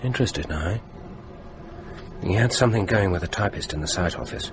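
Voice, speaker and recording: A low-pitched British man, stranded and morbidly curious, in a radio play. He needles his companion with a dry edge, over clearly noisy ambience. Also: lively intonation, brisk. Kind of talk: radio drama acting.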